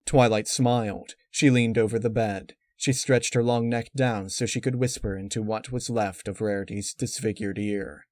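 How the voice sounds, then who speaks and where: Male horror-story narrator: low register, measured and dramatic, very dry close sound. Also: fairly even intonation, a little mellow, dry recording. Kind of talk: scripted dark fan-fiction narration.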